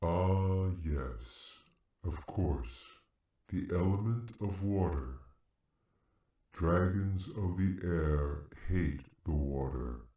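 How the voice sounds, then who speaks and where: A huge armored knight speaks from far off in a dark, muffled tone. He is grave and loyal, choosing formal words ponderously, with strong echo around every one, as in a fantasy audiobook. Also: extremely slow. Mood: grave, measured. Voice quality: deep, booming inside armor. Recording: heavily echoing, distant-sounding voice effect.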